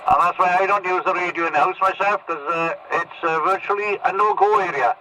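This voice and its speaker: A man's voice: high voice, fairly even intonation, fairly quick, a loud crowd. A man running a casual CB radio net, talking in a rambling, hesitant way over a radio transmission. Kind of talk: casual amateur radio chat. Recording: very noisy CB radio reception.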